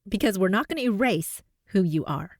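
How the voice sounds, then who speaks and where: A woman from Canada with a neutral North American accent, hosting a podcast as a voice coach. She talks in an upbeat, encouraging way and jumps up in pitch for emphasis. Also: lively intonation, fairly quick, no echo, clean studio sound, faint music behind. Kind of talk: scripted podcast monologue.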